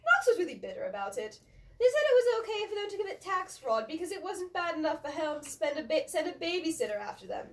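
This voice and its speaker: A woman's voice: extremely high pitch, big pitch swings, fast, far from the mic, voice pushed up, exaggerated voice. A woman voicing a whiny, rambling, naively earnest half-elf man in a comic fantasy reading. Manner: chatty, whining, naively earnest.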